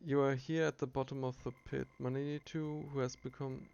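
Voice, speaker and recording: A man's voice: mid-range voice, little pitch movement, brisk, creaky, a little mellow, clean tone. A man reading a game's story text aloud as he plays, with frequent fillers and restarts. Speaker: game streamer. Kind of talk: reading game text aloud while playing. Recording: dull lo-fi recording.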